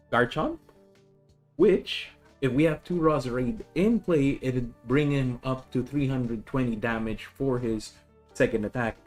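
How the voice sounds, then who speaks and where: A man with a Filipino accent chatting casually on a live stream, explaining a card deck, often correcting himself and greeting viewers. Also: mid-range voice. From Philippines.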